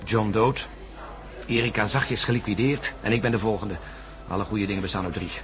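An actor playing an embittered, suspicious astronaut in a science-fiction radio drama, emphatic and sardonic, with brooding hesitations. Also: male voice, fairly low pitch, brisk, natural voice. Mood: bitter, agitated. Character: embittered, suspicious male astronaut insisting on his alarming sighting.

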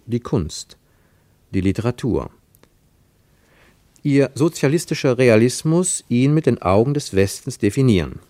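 A man's voice: lowish voice, a little mellow, no room sound. A man reading scripted literary narration for a radio play, with pointed, declamatory phrasing.

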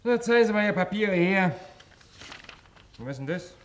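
Bossy factory manager, high sharp voice, swooping pitch, clipped barking questions, vintage radio drama. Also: male voice, animated, moderate pace, a little hiss or hum. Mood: indignant, barking. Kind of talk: 1950s German radio play acting.

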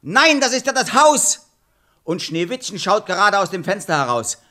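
An actor playing a cheeky, naive, boastful clown in a fairy-tale radio play, exclamatory and clownish. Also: male voice, sits high, big pitch swings, normal speed, bright, forceful tone, full character voice. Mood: eager, insistent. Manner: exclamatory, clownish, nonsense catchphrases.

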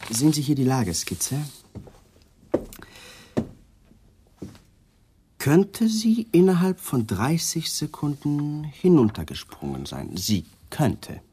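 A man's voice: mid-range voice, mellow, slightly rough, full character voice. A man acting in a 1980s German radio crime play as a persistent police detective, coolly polite and suspicious, putting pointed questions. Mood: probing, polite. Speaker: actor in a radio crime drama.

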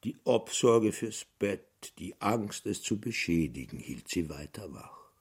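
Male radio drama narrator: very low, husky voice for literary prose. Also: big pitch swings, slow, a little mellow.

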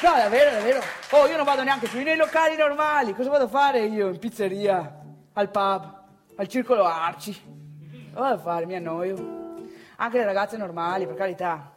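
A woman's voice: mid-range voice, moderate pace, pauses often, over music, natural voice. A woman performing a comic stage monologue as a brash, grumbling provincial man with a northeastern Italian accent, colloquial, boastful and repetitive. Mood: disdainful. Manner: colloquial, boastful, repeats phrases, addresses listeners directly. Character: brash, grumbling provincial young man chasing women in bars.

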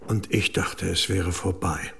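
An actor playing a stern older abbot in an audio drama, speaking gravely and deliberately with commanding authority. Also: male voice, low-pitched, steady tone, very noisy, full character voice. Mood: shaken, grave. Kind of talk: German audio drama acting.

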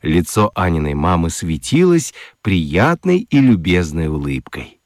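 Casting: male narrator for children's drama, deep, dry studio sound, deliberate and wry. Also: big pitch swings, no room sound. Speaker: narrator of a children's radio play. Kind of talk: expressive scripted storytelling. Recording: dry studio with music underneath. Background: music.

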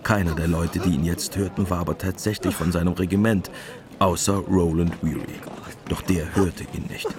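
A man's voice: low voice, steady tone, normal speed, crowd noise. A man narrating a radio drama in a scripted literary style. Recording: clear music bed behind.

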